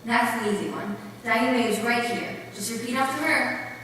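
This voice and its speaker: A woman's voice: fairly high pitch, fairly even intonation, normal speed, a bit bright, distant mic, close to an everyday voice. A woman playing a caring missionary aunt from a remote village in a readers theater piece. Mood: friendly, attentive. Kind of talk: theatrical readers theater, narration and radio dialogue. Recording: echoey hall from afar, faint noise.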